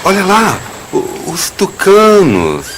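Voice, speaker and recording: Brazilian accent, eager obliging boatman, higher voice, radio drama, loud motor and outdoor noise behind. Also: wildly swooping pitch, takes it slowly, heavily acted. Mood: eager, friendly. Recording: loud river and motor ambience.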